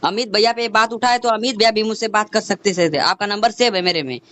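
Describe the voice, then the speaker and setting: Insistent high-voiced man on noisy phone call. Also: a little fast, a bit bright. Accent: Bhojpuri. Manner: insistent, repetitive, talks over the other. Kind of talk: argumentative phone conversation.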